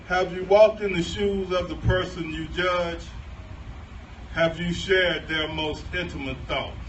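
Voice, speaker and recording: A man solemnly reading aloud in a measured way, pausing between phrases. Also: sits high, fairly even intonation, a bit bright. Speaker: poetry reader. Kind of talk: solemn poetry and statement reading. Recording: distant dull mic, clear noise.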